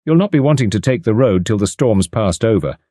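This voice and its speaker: A man's voice: on the low side, animated, fairly quick, mellow, dry recording, studio quality. A man voicing a plain-spoken rural garage mechanic who talks in a vague, folksy way.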